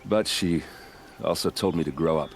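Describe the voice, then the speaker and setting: An actor voicing a blunt, wry warrior captain, loyal and grieving, in a cinematic video game. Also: male voice, a bass voice, normal speed. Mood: reflective. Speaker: captain of the guard.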